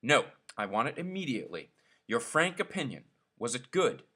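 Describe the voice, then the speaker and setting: A man's voice: mid-range voice, sing-song, moderate pace, pushed and bright, husky, full character voice. A man voicing an eager, vain, ingratiating headmaster in an effusive, pressing way for a storybook reading.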